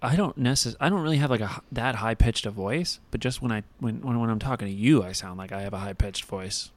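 A man's voice: mid-range voice, fast. A young man co-hosting a podcast in casual chat, rambling with many restarts and crude jokes, talking into a mic with headphones on. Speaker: podcast co-host.

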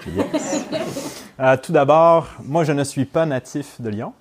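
A man's voice: a little high, noisy background. Québécois man giving a chatty course opening. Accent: Québécois French. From Montreal, Quebec, Canada. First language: English. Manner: chatty and informal, with quick laughs and "ok?" checks. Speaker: neurofeedback trainer.

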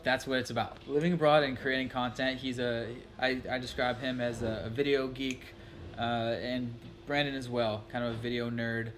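A man's voice: mid-range voice, flat delivery, normal speed, fairly bright tone. Chatty man vlogger over background noise. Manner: loose, chatty, rambling. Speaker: vlogger host. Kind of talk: casual chat to camera. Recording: noisy ambient background.

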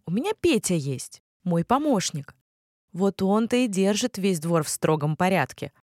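A woman voicing a kind, wise old village grandmother in a children's fairy tale, speaking gently. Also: fairly low pitch, very animated, moderate pace, no echo, clean studio sound. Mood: gentle.

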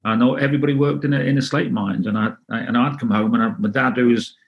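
A middle-aged man from North Wales, Welsh as his first language, answering interview questions in a halting, casual way with many restarts. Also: medium pitch, steady tone, gravelly, highs cut off. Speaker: interview guest.

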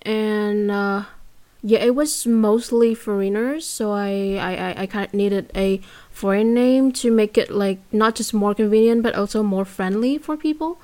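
A woman's voice: mid-range voice, unhurried, a little mellow. Young woman, vietnamese accent, casual guest chat, hesitant, lots of ums and restarts. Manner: hesitant, many fillers and restarts.

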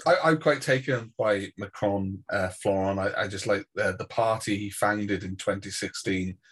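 A man's voice: fairly low pitch, moderate pace, a bit bright, breathy edge. A man from Wales hosting a discussion panel, asking questions in a casual way.

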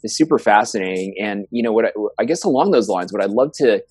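A man's voice: on the low side, expressive, fast talker, a little mellow, slightly rough, no room sound. A man hosting an interview podcast, enthusiastic and prone to repeating his words as he welcomes and questions a guest. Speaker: podcast host.

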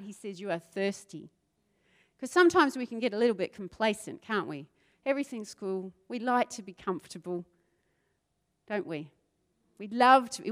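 A woman with a New Zealand accent preaching a sermon, talking with the crowd and building to fervent passages. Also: fairly high pitch. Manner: builds to fervent, rising intensity; asks the crowd questions, conversational asides.

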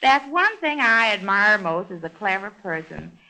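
A woman's voice: mid-range voice, very animated, moderate pace, sharp tone, rough recording. A woman playing a chatty, scatterbrained young hostess in 1930s American radio comedy, gushing and prattling with innocent confidence. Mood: gushing amused. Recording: vintage 1930s radio broadcast.

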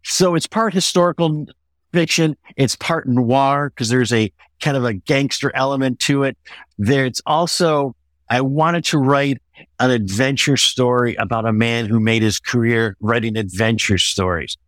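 A middle-aged or older American man answering interview questions as an author, in a measured, explanatory way. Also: mid-range voice, a bit bright, no room sound.

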